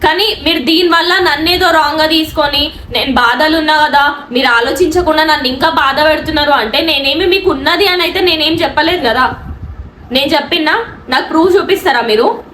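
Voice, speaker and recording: A woman's voice: high voice, brisk, sharp tone. A woman online anchor with a Telangana Telugu accent, speaking defensively and insistently to a critic in a response video, mixing in English words. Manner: indignant, defensive, insistent rhetorical questioning; mixes English words into speech. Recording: echoey room with faint background noise.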